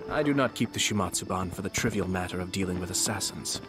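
An actor playing a suave, unruffled young noble swordsman in an anime game, composed, polite and quietly menacing. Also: deep voice, fast, close to an everyday voice. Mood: cool, teasing. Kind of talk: anime game trailer acting. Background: loud trailer music.

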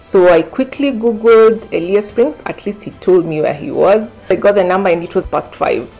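A woman's voice: mid-range voice, moderate pace, gravelly, warm tone, poor audio. A woman, recounting events conversationally in an indoor interview. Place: indoor living room, seated. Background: faint music.

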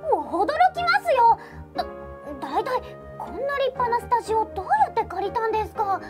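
A woman playing a flustered, earnest high school girl in an anime radio drama, stammering and exasperated. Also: expressive, brisk, music in the background. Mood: flustered, startled.

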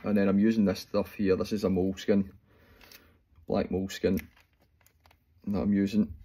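A Scottish man in his forties talks through tying a fly, relaxed and unhurried, with lots of ums along the way. His voice sits low and a little husky. He is recorded close up on a phone in a small room at home. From Scotland. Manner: relaxed, explains step by step with fillers while working. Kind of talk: casual talk-through tutorial. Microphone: phone camera close up.

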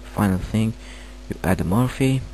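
A man with a Romanian accent, not a native English speaker, halting through a screen-recorded software tutorial with many fillers. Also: fairly low pitch, lively intonation, a little hiss or hum, poor audio. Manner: hesitant, halting, filler-heavy. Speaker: tutorial maker.

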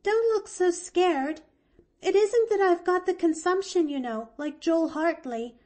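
A woman's voice: very high-pitched, a little slow, a little mellow, voice pushed up. A woman reading aloud, voicing an eager, chattering little girl who gushes breathlessly. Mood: eager, reassuring. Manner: breathless, gushing, rapturous.

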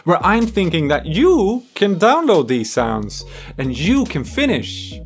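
A man's voice: high voice, very animated, takes it slowly. A man in his thirties talking in a chatty, enthusiastic way, like a casual demo vlog, into a desk microphone in a small studio. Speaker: music gear video creator, host. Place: small home studio room full of instruments. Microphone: desk microphone on a boom arm. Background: synth music.

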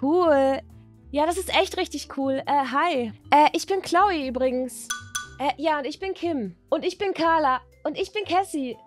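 A woman's voice: high-pitched, a little slow, faint music behind. A woman voicing chatty, dramatic teenage schoolgirls in improvised doll-play storytelling, with exaggerated teen slang. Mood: flustered, smitten.

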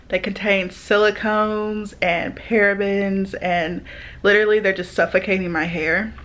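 A young woman in her twenties talks casually into a phone held at arm's length, her voice pitched in the middle range. She rambles along with plenty of "like" and "literally". Faint room noise sits under her voice in a small home. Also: fairly bright tone. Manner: chatty, many fillers like "like" and "literally". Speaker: vlogger. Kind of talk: casual chat to camera. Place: small home, living room then kitchen, indoors. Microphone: phone camera at arm's length.

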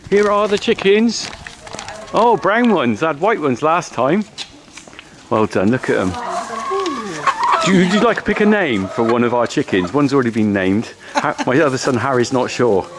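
Brief: middle-aged farmer, cheerful and chatty, selfie camera outdoors with noise behind. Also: male voice, medium pitch, very animated, normal speed. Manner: cheerful, chatty, asks lots of questions. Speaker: farmer vlogger. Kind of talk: casual vlog chat. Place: outdoors on a farm and in a car. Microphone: handheld camera selfie. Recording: noisy outdoor camera audio.

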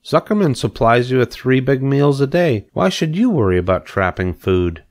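A man's voice: medium pitch, expressive, moderate pace, pitched above the performer's own voice, close to an everyday voice. A man voicing a wise, kindly female spider in a storybook read-aloud, patient and explaining.

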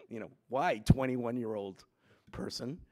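A man's voice: medium pitch, expressive, takes it slowly. A man in his fifties from Puerto Rico gives a personal talk to students at a chapel lectern, conversational with many fillers and growing emphatic. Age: 50s. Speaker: chapel speaker. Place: wood-panelled school chapel, students seated behind. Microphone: gooseneck lectern mic.

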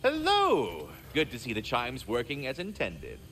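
A man's voice: mid-range voice, huge pitch swings, a little slow, a bit bright, a little hiss or hum. An actor voicing a courteous, wordy, fatherly giant squirrel with flowery formal speech in a video game. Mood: polite. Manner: verbose, formal, flowery diction. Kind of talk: theatrical video game acting.

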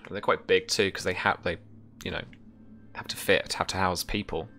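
A young man with a low, bright-edged voice improvises narration as a game master. He describes scenes casually and haltingly, picking his way through details, with music underneath. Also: fairly even intonation. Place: indoor room, remote session.

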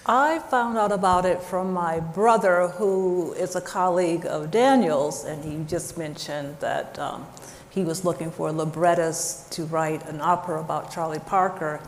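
Deep-voiced woman answering on a panel, soft and plain, echoey hall sound. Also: measured pace, slight background noise. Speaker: librettist, panel guest. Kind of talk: conversational answer. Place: large theater stage. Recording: echoey hall with faint ambience.

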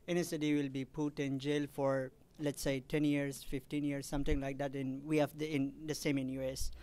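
A man from Afghanistan in the audience, commenting at a conference in halting, careful English full of pauses and fillers. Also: mid-range voice, level tone, darkish tone, clean tone. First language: not Arabic; Afghan language. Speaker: audience member asking a question.

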